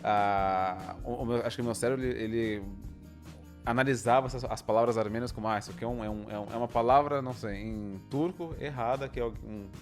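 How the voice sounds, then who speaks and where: Thoughtful young Brazilian man, mid pitch. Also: faint music behind. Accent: Brazilian Portuguese. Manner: thoughtful, explains at length with many pauses and restarts. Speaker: co-host, language learner. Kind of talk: casual chat.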